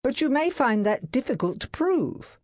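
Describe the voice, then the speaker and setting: Woman doing a calm young secretary guy, slow and sensible. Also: fairly high pitch, dry recording, clean studio sound, voice pushed up. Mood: reasoning. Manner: reasonable, measured.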